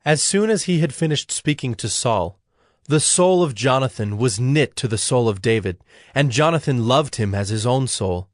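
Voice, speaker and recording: A man narrating a dramatized scripture story from a script, in a measured, solemn storytelling manner. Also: mid-range voice, lively intonation, no echo, clean studio sound. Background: background music.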